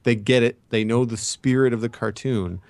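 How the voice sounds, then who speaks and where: A man's voice: mid-range voice, steady tone, moderate pace, darkish tone, no room sound. A man co-hosting a casual pop-culture chat, talking in a rambling, opinionated way. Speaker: podcast co-host.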